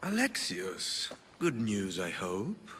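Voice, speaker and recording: Scheming wealthy patron for a game: lowish, measured, condescending, long reverb on the voice. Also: male voice, huge pitch swings, a bit bright, slight background noise, full character voice. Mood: sly, approving. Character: smooth-talking, calculating rich male patron hiring mercenaries. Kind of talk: video game cutscene acting. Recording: long reverb, background music.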